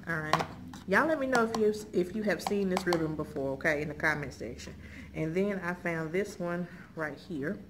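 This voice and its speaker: A middle-aged American woman with a lowish voice chats casually to her viewers. Her manner is folksy and conversational, with frequent little check-ins. There is clear background noise in the indoor recording. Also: normal speed. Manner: folksy, conversational, frequent check-in tags like okay and all right. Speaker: haul video host. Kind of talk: casual chat showing purchases to viewers. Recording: clearly noisy room recording.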